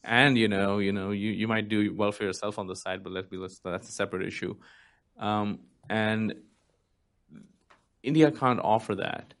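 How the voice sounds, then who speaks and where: A man with a fairly deep voice speaks on a discussion panel. His manner is candid and explanatory, in a loose conversational style. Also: steady tone, moderate pace, a bit bright.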